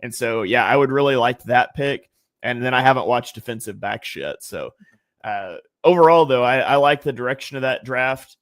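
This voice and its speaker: A man chatting through draft picks on a sports podcast, working things out as he goes with plenty of ums and restarts. His voice sits at an ordinary middle pitch. The sound is dry and close, with no room around it. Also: lively intonation, moderate pace, dry recording. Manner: conversational, analytical, many fillers and restarts. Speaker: guest draft analyst. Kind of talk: casual sports podcast chat.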